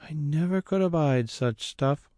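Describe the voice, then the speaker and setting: Prickly country housekeeper, rustic speech; man doing her in falsetto-ish lift, dry close read. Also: a little slow, mellow, dry recording, close to an everyday voice. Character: prim middle-aged woman housekeeper, touchy.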